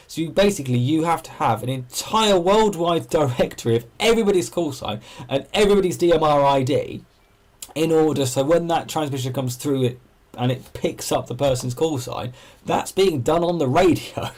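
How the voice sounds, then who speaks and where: A man's voice: fairly high pitch, a little mellow. A man hosting an amateur radio livestream, chatting casually and thinking aloud as he explains things and answers viewers.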